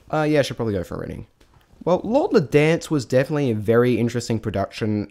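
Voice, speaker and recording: Rambling man with lively, swinging pitch. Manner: rambling, self-deprecating, thinking aloud. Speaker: amateur vlog reviewer. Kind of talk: casual unscripted spoken review.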